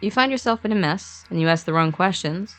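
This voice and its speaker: A woman's voice: lowish voice, lively intonation, brisk, faint music behind. A woman co-narrating a scripted story with casual, wry, conversational asides.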